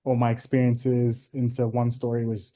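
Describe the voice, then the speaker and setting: A young man from Ghana talks through his answers on a video call. His voice is mellow and fairly flat in pitch. He is deliberate and thoughtful, often pausing on ums. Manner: measured, thoughtful, with frequent ums. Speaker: interview guest.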